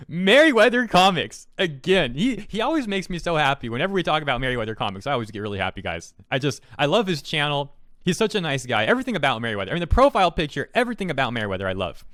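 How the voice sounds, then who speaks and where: A man's voice: a little high, sharp tone, dry recording, clean studio sound. A man hosting an opinionated online commentary, talking in a sarcastic, mocking way full of pointed rhetorical questions. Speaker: YouTube commentary host. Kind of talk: opinionated online commentary, reading out tweets and reacting.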